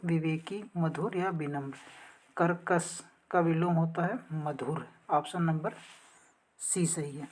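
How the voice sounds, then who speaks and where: A woman teacher explaining practice exam questions and answers in a methodical, instructional way, reading out each option. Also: on the low side.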